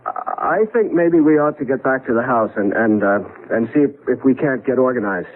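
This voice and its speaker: An actor playing a flustered American husband in a 1970s radio drama, halting and repeating words as he insists on logical explanations. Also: medium pitch, natural voice. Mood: rattled, soothing. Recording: tinny, noisy 1970s radio broadcast.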